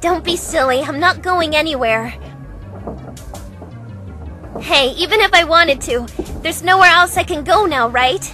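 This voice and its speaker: A woman playing a protective young older-sister figure in a dramatic visual novel fandub, earnest and gently firm. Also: very high-pitched, unhurried, pauses often, soft background music, exaggerated voice. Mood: gentle firmness.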